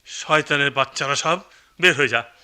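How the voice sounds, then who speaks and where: An actor playing a greedy, deceitful middle-aged uncle in a radio play, sarcastic and short-tempered. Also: male voice, a little high, moderate pace, sharp tone, clear, resonant tone. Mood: furious. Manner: sarcastic, blustering. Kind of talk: Bengali radio play acting.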